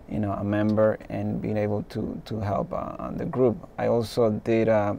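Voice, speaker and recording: A man in his thirties from the Dominican Republic talks in a gravelly, low, flat voice with a dark tone. He introduces himself on a studio talk show at a measured pace, with frequent pauses. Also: slight background noise. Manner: measured, many uh fillers. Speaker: guest, filmmaker.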